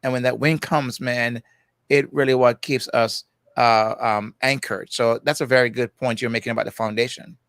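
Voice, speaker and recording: A man's voice: medium pitch, steady tone, normal speed, a bit bright, dry recording. Jamaican man in his sixties, dry sound. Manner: reflective, peppers speech with "you know" and "right". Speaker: guest. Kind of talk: casual conversation.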